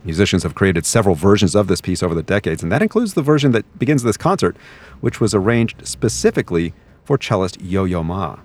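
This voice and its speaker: A man with a low, deep voice introduces a classical concert on the radio. His scripted narration is measured and even, the steady pacing of a seasoned broadcast announcer. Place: concert venue broadcast booth.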